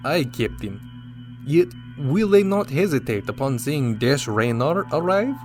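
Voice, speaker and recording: A man's voice: mid-range voice, big pitch swings, unhurried. A man playing a dutiful starship lieutenant in a radio drama, speaking in a formal military manner. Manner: formal, crisp military reporting. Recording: music under the voice. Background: music.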